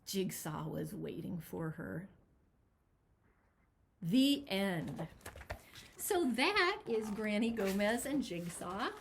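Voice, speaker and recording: A woman reading a picture book aloud as its author, with expressive, dramatic storytelling. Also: mid-range voice, takes it slowly, gravelly, long pauses, slight background noise. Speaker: children's author reading their own book.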